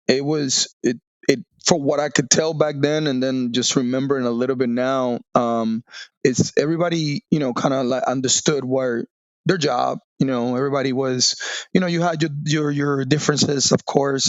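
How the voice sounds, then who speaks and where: Gravelly-voiced man with a Dominican accent. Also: medium pitch, no room sound. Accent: Dominican Spanish. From Dominican Republic. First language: Spanish. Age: 40s. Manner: halting, with many restarts and fillers. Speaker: guest, Navy veteran. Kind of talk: reflective podcast interview. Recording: dull lo-fi dry sound.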